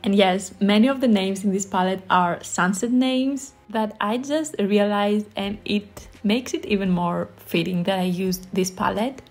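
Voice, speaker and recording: A woman's voice: mid-range voice, a little mellow. A young woman from Greece with a Greek accent, narrating a watercolor tutorial in a friendly, enthusiastic, chatty way. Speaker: watercolor art instructor. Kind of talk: voice-over painting tutorial narration.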